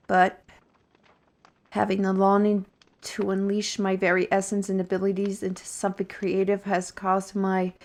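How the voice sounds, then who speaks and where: An actress playing a weary, irritable fantasy artisan in an audio roleplay, speaking in an exasperated, deliberate way with sighs. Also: female voice, medium pitch, close to an everyday voice. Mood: weary, grudging. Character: weary, irritable female fantasy artisan under council watch.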